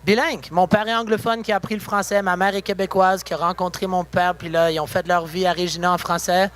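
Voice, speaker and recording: Young male musician from Saskatchewan, high voice, easygoing and chatty. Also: quick pace. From Saskatchewan, Canada. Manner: relaxed, chatty, full of fillers. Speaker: musician guest. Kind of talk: casual interview. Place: backstage at a concert venue.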